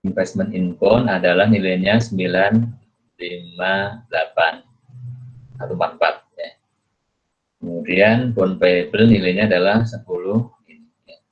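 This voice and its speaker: Very deep-voiced male lecturer. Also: fairly even intonation. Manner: repeatedly adds "ya" as a check-in tag; halting, thinking aloud with questions to the listener. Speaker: accounting lecturer. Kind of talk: explanatory screen lecture, working through a worksheet. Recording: dull, muffled lo-fi recording.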